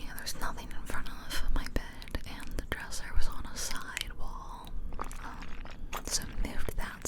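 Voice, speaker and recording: A woman in her twenties rambling casually in a soft, unvoiced whisper for ASMR, close to the microphone. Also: poor audio. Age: 20s. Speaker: ASMR video host. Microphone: close ear-to-ear ASMR microphone.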